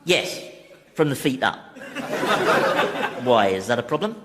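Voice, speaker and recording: A sardonic, casually cruel devil king for radio comedy. His pitch swings freely as he delivers dry sarcasm. The recording carries clear noise. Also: big pitch swings, unhurried. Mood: mocking, contemptuous. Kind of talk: radio comedy drama acting.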